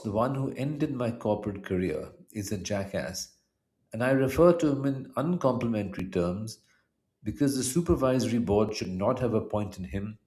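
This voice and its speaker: Middle-aged Indian man narrating, measured and formal. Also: mid-range voice, darkish tone. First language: Punjabi. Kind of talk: scripted narration of a personal history essay.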